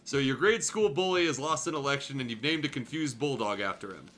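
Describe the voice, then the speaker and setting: A man's voice: mid-range voice, lively intonation, a little fast, a bit bright, natural voice. A man reading aloud as a frank, skeptical storybook man with a dry, needling manner. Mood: dryly teasing. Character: frank, skeptical man teasing his smug host.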